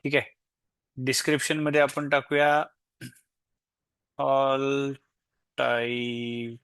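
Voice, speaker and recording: A man with an Indian Marathi accent presents an informal screen tutorial. His voice sits at a medium pitch, and he explains each step, dropping in English tech terms. The recording is close and dry, with hardly any room sound. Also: little pitch movement, very slow, fairly bright tone, smooth, no echo. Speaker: software demo presenter.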